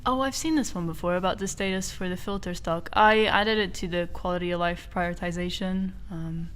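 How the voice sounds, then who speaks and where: A woman's voice: lowish voice, a little fast, clear, resonant tone. A woman who grew up in Portugal, English not her first language, reading viewer questions aloud and answering them plainly on a game developer livestream. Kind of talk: casual livestream Q&A.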